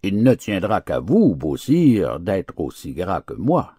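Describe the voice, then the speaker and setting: A man's voice: low-pitched, huge pitch swings, slow, dark tone, slightly rough, no echo, studio quality, heavily acted. A man voicing a big, well-fed storybook mastiff, complacent and persuasive, servile to his masters. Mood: smug, coaxing.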